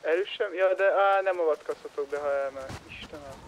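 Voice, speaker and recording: A man's voice: on the high side, a little hiss or hum, low-quality recording. A man talking casually with teammates over voice chat in an online roleplay game.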